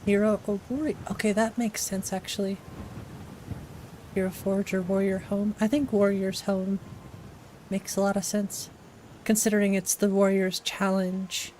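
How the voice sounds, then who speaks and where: Brief: woman streamer, casual wry gameplay chatter, soft mellow tone, flat delivery. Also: medium pitch, measured pace, a little hiss or hum, poor audio. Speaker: game streamer. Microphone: XLR microphone.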